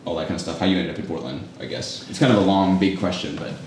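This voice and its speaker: A man with a very low voice hosting a relaxed chat, talking fast and rambling through his questions. He sounds set back from the mic in a strongly echoing room. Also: a little hiss or hum. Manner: chatty, rambling, fillers like "like". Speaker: coffee shop host. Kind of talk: casual interview. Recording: echoey room, mic at a distance.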